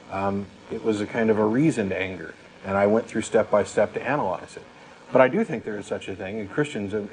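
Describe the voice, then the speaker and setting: A man with a fairly low voice talks through his views as a talk show co-host. He is emphatic and argumentative, repeating himself for stress. There is faint noise and room ambience behind him. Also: rough recording. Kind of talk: conversational discussion, personal reflection.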